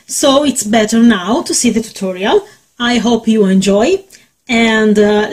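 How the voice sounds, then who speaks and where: Slow, hesitant Italian-accented woman. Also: a little high, darkish tone. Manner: slow, hesitant, many fillers. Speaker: makeup tutorial host. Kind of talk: casual face painting tutorial. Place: small bedroom, indoors.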